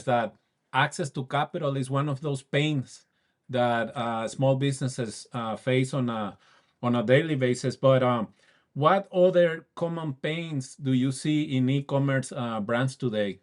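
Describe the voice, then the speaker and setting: A man's voice: mid-range voice, moderate pace, a little mellow. A man hosting a business podcast, welcoming a guest in a friendly, eager way and adding short affirmations. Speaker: podcast host. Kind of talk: podcast interview.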